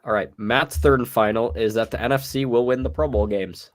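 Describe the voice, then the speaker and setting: A man's voice: medium pitch, fairly quick. A young man hosting a casual podcast chat, sounding sick and worn, steering the talk in an easygoing way. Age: young adult. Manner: easygoing, runs the segment. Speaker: podcast host.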